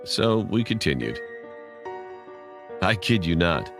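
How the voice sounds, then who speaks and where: A man narrates a scary story in a very low voice. He reads from a script in the role of storyteller. Music is clearly heard behind him. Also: sing-song, slow.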